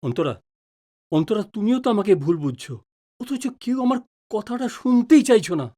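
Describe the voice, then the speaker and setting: A man's voice: high voice, sing-song, moderate pace, dark tone. Man acting a flustered middle-aged father, swooping intonation. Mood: indignant. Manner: stammers and repeats words when flustered. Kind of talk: Bengali audio drama acting.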